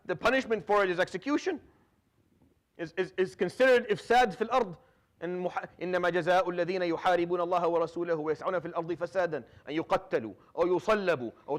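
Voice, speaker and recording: Syrian-raised guy in his 30s giving a casual quran lesson, talks fast, kinda high voice, keeps restarting sentences. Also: fairly bright tone. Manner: conversational, frequent restarts and repeated words, drops Arabic fillers; recites Quranic Arabic quickly. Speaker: imam giving Quran commentary. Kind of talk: informal religious lecture.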